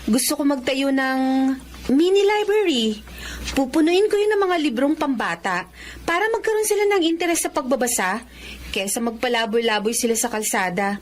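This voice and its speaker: A woman's voice: sits high, animated, normal speed, slight background noise. A woman playing an earnest, kind young woman who talks eagerly and politely. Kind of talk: radio drama acting.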